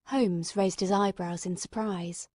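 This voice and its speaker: A woman's voice: fairly low pitch, fairly even intonation, measured pace, darkish tone, no echo, clean studio sound. A woman reading scripted storybook narration as an audiobook narrator.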